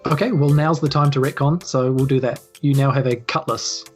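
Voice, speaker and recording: A woman's voice: rumbling low, fairly even intonation, brisk. A woman with a New Zealand accent running a casual tabletop game as game master in an easygoing way. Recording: music playing behind the voice. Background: background music.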